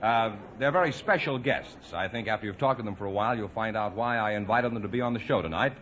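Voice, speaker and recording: A man's voice: lowish voice, quick pace, a bit bright. An American man politely introducing guests as the announcer of an old radio show. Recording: dull vintage radio, faint hiss.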